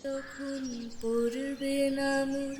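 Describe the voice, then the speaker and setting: A woman sings in a high voice at a very slow, plaintive pace. Clear background ambience sits behind her. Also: level tone, darkish tone, a little hiss or hum.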